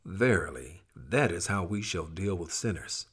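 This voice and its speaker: A man's voice: low-pitched, lively intonation, unhurried, a bit bright, breathy edge. A man reading scripture from a script in a solemn, emphatic, dramatic way. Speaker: scripture reader. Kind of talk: expressive scripted scripture recitation.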